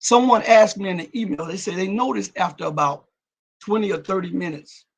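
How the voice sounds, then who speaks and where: A man's voice: high voice, a little fast. A man hosting a live-stream show and reading out viewer comments. He talks emphatically, restarts and repeats words, and his voice cracks and breaks up at times. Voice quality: raspy.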